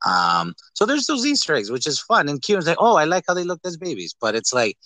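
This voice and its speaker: A man in casual, animated conversation as a podcast host, slipping into imagined quotes as he goes. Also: a little high, a little fast, no echo. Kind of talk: casual movie chat.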